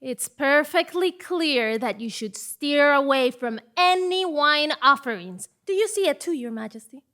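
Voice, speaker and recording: A woman's voice: sits high, expressive, unhurried, few pauses, pushed and bright, full character voice. A woman playing a scheming, insistent journalist who stammers theatrically in a comedic stage play. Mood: flustered, insistent. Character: flustered, scheming female journalist, fast-fibbing and insistent. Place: theatre stage before live audience.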